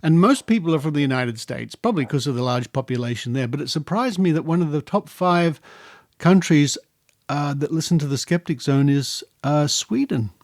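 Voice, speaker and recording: Australian man, podcast host, slightly high voice, chatty, genial, dry close recording. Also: animated, normal speed, dry recording. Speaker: podcast host interviewing guests. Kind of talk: casual podcast interview chat.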